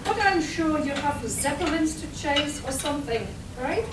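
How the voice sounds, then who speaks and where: A woman's voice: high voice, animated, measured pace. A woman playing a sarcastic, reluctant young adventuring heroine with dry delivery in a live amateur radio play. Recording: roomy, noisy live hall, distant mic.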